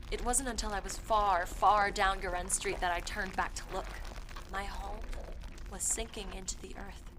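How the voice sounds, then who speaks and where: A woman playing a haunted, obsessive young woman who recounts a dark past in an intense audio drama monologue. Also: medium pitch, noisy background, natural voice. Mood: frightened, urgent. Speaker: performer reading a dramatic monologue. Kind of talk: first-person audio fiction monologue. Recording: voice over prominent music.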